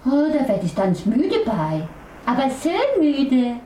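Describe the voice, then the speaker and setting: A woman voicing an excitable, proud little baby parrot with childish mispronunciations in a children's puppet play. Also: slow, full character voice. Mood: delighted. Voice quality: childlike, high-pitched voice. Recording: echoey room, distant mic, faint noise.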